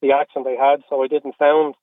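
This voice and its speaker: A middle-aged Irish man talks candidly as an interview guest over a narrow phone-like line. His voice sits at an ordinary male pitch, and he speaks earnestly, often stopping and repeating himself. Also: level tone, brisk, dry recording. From Australia and Ireland. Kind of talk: candid personal conversation. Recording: phone-like, narrow-band radio call.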